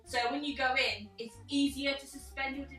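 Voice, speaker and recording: A woman's voice: high voice, steady tone, moderate pace, pushed and bright. A woman in a casual group film discussion, giving emphatic opinions and swearing freely. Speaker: film review panelist. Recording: echoey room, distant mic, music behind.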